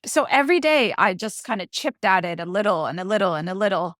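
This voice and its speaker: A woman's voice: medium pitch, lively intonation, fast, fairly bright tone, no echo. Reflective Colombian-born woman, dry sound. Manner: reflective, searching for words with restarts. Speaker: author and guest. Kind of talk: interview conversation.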